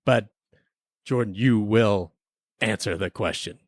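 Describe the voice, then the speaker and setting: A man's voice: lowish voice, slow, no room sound, clean studio sound. A man hosting a comedy podcast in role as an investigator, with a mock-stern, theatrical manner. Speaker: podcast host. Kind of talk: comic role-play as investigator.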